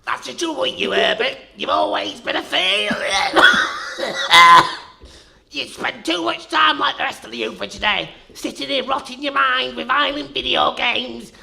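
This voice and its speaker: A performer playing a nagging, screechy elderly landlady in a comic radio sketch, scolding loudly. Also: high voice, normal speed, gravelly, hardly any pauses, exaggerated voice.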